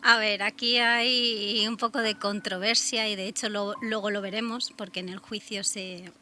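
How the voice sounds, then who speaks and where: A woman co-hosting a true-crime radio talk in a bright, crisp-edged voice of medium pitch. She speaks conversationally with an accent from Spain. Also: level tone, moderate pace, a little hiss or hum. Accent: Spain Spanish. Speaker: radio show co-host.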